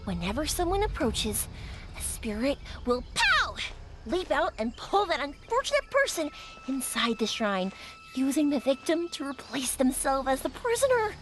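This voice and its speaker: A woman voicing a bold, curious, excitable young game character with a breezy, exclamatory, casual way of talking. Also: high-pitched, moderate pace, a little hiss or hum. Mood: spooky storytelling. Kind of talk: video game voice acting.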